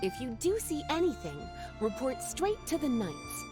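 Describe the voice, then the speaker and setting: A woman's voice: high-pitched, sing-song, normal speed, over loud music. A woman playing a sultry, teasing librarian in a video game, coy, languid and playful.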